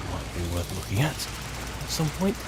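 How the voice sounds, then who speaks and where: A man's voice: low-pitched, big pitch swings, moderate pace, very noisy. A man improvising in-character commentary as he roleplays through a video game, musing and wry as he chats.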